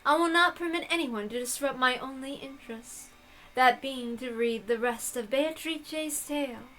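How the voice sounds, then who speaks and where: Woman voicing an ancient, haughty, high-voiced witch. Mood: stern, measured. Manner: quiet, measured, formal and imperious. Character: ancient, haughty, frail female witch, formal and serene. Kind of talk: visual novel read-aloud.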